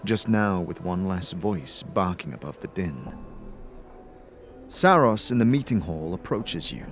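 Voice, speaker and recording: A man's voice: low-pitched, lively intonation, unhurried, a little hiss or hum. A man giving dramatic scripted narration as the narrator of a fantasy audio drama.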